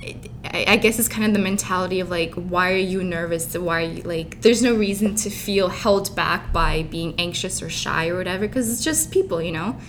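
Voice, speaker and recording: A woman's voice: normal speed, a bit bright. A young woman who grew up in Canada and Dubai, chatting informally and openly as an interview guest. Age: young adult. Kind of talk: casual chat. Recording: clear background rumbling noise.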